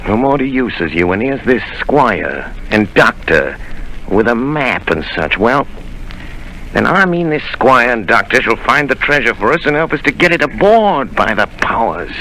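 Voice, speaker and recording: An actor playing a sly, scheming one-legged pirate cook in a 1930s radio drama, growling and persuasive, on an old hissy broadcast recording. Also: male voice, mid-range voice, very animated, normal speed, sharp tone, poor audio.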